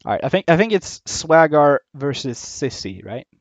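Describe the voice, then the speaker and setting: A man's voice: on the high side, very animated, moderate pace, no echo. A man casting a live game stream, thinking aloud, laughing often and trailing off as he works things out. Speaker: caster. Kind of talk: casual live-stream commentary. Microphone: headset or desk mic, not on camera. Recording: dull lo-fi sound.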